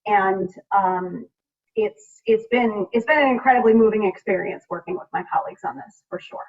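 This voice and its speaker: A woman's voice: medium pitch, normal speed. An American woman legislator speaking earnestly and emphatically on a panel over a video call. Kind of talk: public panel remarks, speaking from personal conviction. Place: remote video meeting. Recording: distant, muffled video call audio.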